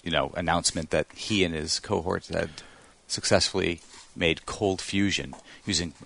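A man's voice: low-pitched, steady tone, moderate pace, gravelly, a bit bright. A man hosting a podcast segment in a conversational, wordy way with a playful announcer touch.

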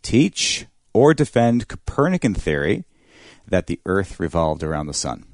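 Deep-voiced male co-host, very expressive pitch, dry recording. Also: unhurried, no room sound. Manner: lively, playful delivery of a history segment. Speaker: podcast co-host. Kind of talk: casual chat.

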